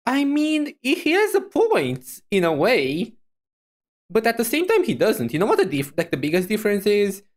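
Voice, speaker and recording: A woman gives casual reaction commentary in a voice of middling pitch that moves up and down a lot. She muses aloud, hesitating and repeating her points with animation. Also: moderate pace. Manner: hesitant, repetitive, animated musing. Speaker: VTuber reacting to a video.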